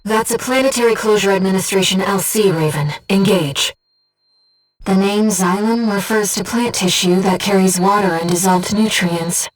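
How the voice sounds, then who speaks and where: A woman acts out a disembodied female companion who guides a pilot through a video game. Her mid-range voice is soft-edged and breathy, with a slightly distant sound. She speaks with composed, earnest precision, gentle yet intent. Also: mid-range voice, moderate pace, soft background music, lightly acted. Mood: alert, urgent. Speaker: mission support companion. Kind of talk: video game voice acting, tactical callouts and briefings.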